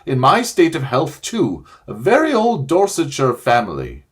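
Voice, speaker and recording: A man voicing a fussy, cowardly old gentleman who pleads and whines in a high, trembling voice, in a storybook reading. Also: wildly swooping pitch, moderate pace, breathy edge. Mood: wailing self-pity.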